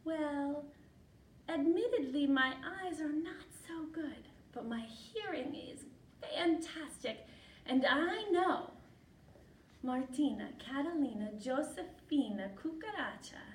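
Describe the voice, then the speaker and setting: Shy little boy mouse for a storybook tale , voiced by a woman: earnest, high, unhurried, roomy sound. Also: lively intonation, distant mic. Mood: shy, admiring. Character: tiny shy gentle male mouse suitor, sincere. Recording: echoey room from afar, noisy.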